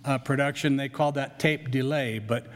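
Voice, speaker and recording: A man's voice: medium pitch, steady tone, moderate pace. An older man leading worship, giving church announcements in a chatty, self-deprecating way. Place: church sanctuary. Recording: long church hall reverb.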